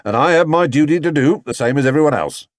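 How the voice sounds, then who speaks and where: A man's voice: fairly high pitch, expressive, fast talker, dry recording, studio quality, voice pushed up, natural voice. Man acting a stern, pompous railway inspector, swooping delivery. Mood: ominous, official.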